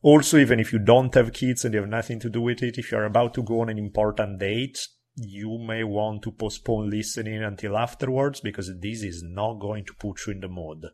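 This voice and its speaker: Italian male podcast host, lowish voice, chatty and expressive. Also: fairly quick. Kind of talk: casual podcast chat.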